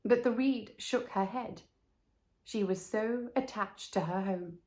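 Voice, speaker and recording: A woman narrating a children's story from a script, with measured, deliberate phrasing. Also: medium pitch, darkish tone. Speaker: storybook reader.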